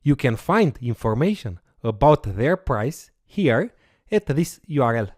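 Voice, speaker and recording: Lively-pitched instructor. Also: measured pace, no echo, studio quality. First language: Romanian. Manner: explanatory, walks through steps with occasional fillers. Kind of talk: recorded course lecture with screen demo.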